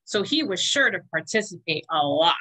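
A woman's voice: on the low side, big pitch swings, normal speed, sharp tone, rough recording. A middle-aged American woman reading a children's picture book aloud as a storytime reader. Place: small room indoors. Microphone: webcam video call.

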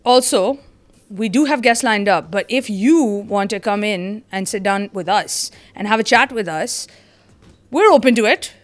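A young woman co-hosting a podcast in casual chat, upbeat and enthusiastic, on a boom-arm studio mic in a small foam-lined studio. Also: unhurried. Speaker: podcast co-host.

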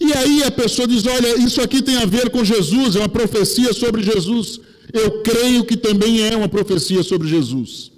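Middle-aged man, Brazilian accent, very high-pitched voice, pastor preaching, emphatic, long hall reverb. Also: moderate pace. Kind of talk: sermon. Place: church pulpit, indoors. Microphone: headset microphone.

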